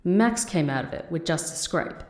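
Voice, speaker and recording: Actress as a lively, wry ex-agent woman, roomy sound. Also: on the low side, normal speed, close to an everyday voice. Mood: somber, reflective. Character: former woman agent turned call-centre worker, wry and composed. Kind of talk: audio drama narration and dialogue.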